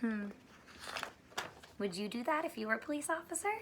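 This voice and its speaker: A woman's voice: a little high, expressive, moderate pace, slight background noise. A woman teacher reading a storybook aloud to young children, expressively, with questions and asides spoken straight to them. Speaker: elementary school teacher reading aloud to students.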